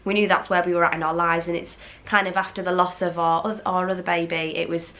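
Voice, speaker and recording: A young woman from England talks to her camera in a loose, candid way, full of fillers and restarts. Her nose is blocked and she sounds fluey. Her voice sits fairly low for a woman. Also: flat delivery, fast talker, fairly bright tone. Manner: chatty and candid, frequent fillers and restarts, sometimes swears. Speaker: vlogger. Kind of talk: casual chat to camera.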